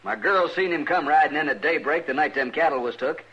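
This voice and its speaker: An actor playing a hard-nosed, combative older rancher with an American Western accent in an old radio western. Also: male voice, on the high side, brisk, sharp tone, husky, heavily acted. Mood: indignant. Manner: combative, accusing. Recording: muffled old radio recording.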